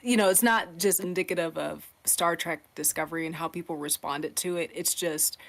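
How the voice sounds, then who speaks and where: A woman's voice: fairly low pitch, a bit bright. A woman on a podcast panel, talking casually and earnestly as she explains a point, acting out imagined quotes as she goes.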